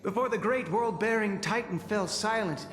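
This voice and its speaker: An actor playing a newly appointed chaplain in a fantasy game, addressing citizens in grand, measured, ceremonial oratory. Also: male voice, sits high, lightly acted. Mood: solemn proclaiming. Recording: echoey hall reverb over game music.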